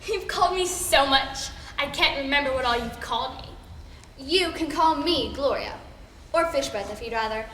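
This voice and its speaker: A woman's voice: sits high, expressive, normal speed, bright, forceful tone. A young female performer playing catty, giddy soap opera fanatics in an exaggerated comedic school skit. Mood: smug, scheming. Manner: exaggerated, theatrical. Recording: echoey hall, faint noise.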